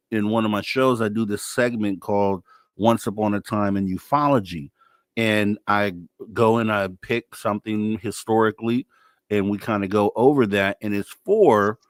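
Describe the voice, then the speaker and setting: Low-voiced man hosting a talk show. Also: smooth, no room sound, clean studio sound. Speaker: radio show host. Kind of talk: casual live talk show interviewing.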